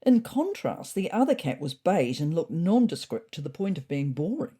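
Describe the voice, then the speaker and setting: A woman playing a wry, observant cat narrator, telling a scripted comic diary story in a husky, expressive voice. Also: deep voice, moderate pace, exaggerated voice. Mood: wry, curious.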